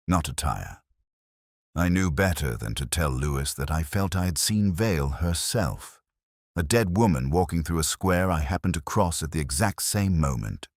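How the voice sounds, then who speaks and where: A middle-aged man reading a first-person detective story in a measured, brooding, literary manner. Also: sits low, no echo, studio quality. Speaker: narrator.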